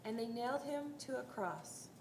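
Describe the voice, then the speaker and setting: High-voiced woman narrating in a roomy hall. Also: lively intonation, moderate pace, heavy background noise. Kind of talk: dramatic scripted Bible narration. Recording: roomy live hall, faint music.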